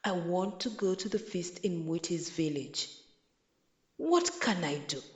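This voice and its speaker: Woman reading as an eager old village man, animated and emphatic. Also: lowish voice, measured pace. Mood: pondering. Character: eager, scheming old village man who loves feasts. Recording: echoey, roomy sound.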